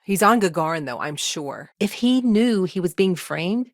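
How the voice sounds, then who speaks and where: Game role: busy space-colony lawyer, played by an actress, woman with lowish voice, measured and wry, close dry sound. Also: animated, no echo, natural voice. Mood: businesslike. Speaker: voice actor in a video game. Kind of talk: scripted game dialogue.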